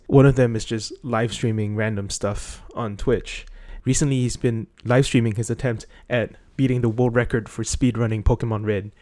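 A man's voice: on the low side, darkish tone. A man presenting casually, introducing someone else and their reading.

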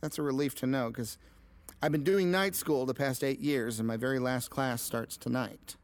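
An actor playing an earnest, hapless but hopeful low-level ad man in an audio drama, plain-spoken and hesitant. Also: moderate pace, lightly acted. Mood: frustrated, hopeful. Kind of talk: naturalistic audio drama acting.

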